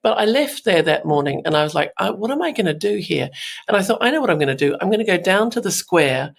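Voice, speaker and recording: A woman in her fifties, a guest telling a candid story in an interview, with a croaky voice and frequent laughs. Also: fairly low pitch, lively intonation, quick pace. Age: 50s. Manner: laughs often, acts out her own thoughts in quotes. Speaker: podcast guest, artist.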